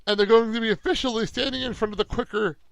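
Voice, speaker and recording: A man's voice: very high-pitched, steady tone, fast, vocal fry, dry recording, rough recording. A male podcast co-host in a casual recap chat, giggly, often breaking into laughter and swearing.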